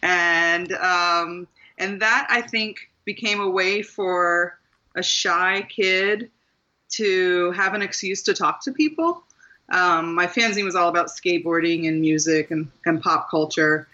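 A woman's voice: lowish voice, takes it slowly, sharp tone, muffled recording. A woman in her forties from southern Maryland, talking thoughtfully as an interview guest with frequent ums and restarts. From southern Maryland, USA. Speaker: guest author. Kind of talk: interview conversation.

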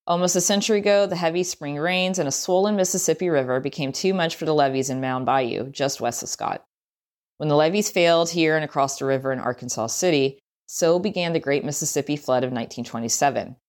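A woman's voice: sits low, fairly quick. A woman reading a travel story aloud as scripted narration.

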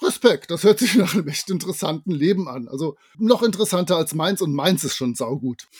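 Man, fifties, highish pitch, chatty podcast narration, jocular colloquial asides, dry close sound. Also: lively intonation, fairly quick, husky, dry recording. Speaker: podcast co-host.

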